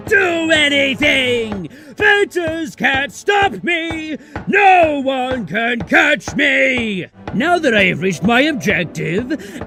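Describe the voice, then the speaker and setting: A man playing a megalomaniac supervillain in an amateur comic dub, boastful, menacing and given to drawn-out shouts. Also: very high-pitched, creaky, exaggerated voice. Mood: triumphant. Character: megalomaniac mad-scientist supervillain with mechanical arms.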